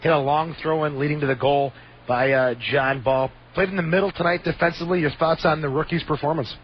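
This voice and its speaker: A man's voice: on the high side, fairly even intonation, fairly quick, breathy edge. A man hosting a sports radio call-in show, upbeat and moving the conversation along. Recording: dull lo-fi radio audio, faint noise.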